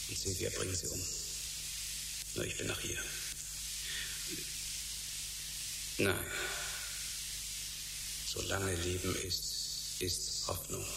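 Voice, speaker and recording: A man's voice: deep voice, creaky, heavily acted. Anguished captive father in echoing emptiness. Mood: bleak, despairing. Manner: halting monologue, in short broken phrases. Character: anguished captive father, wavering between despair and desperate faith. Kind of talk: German radio drama acting. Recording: noisy, hissy, cavernous echoing hall.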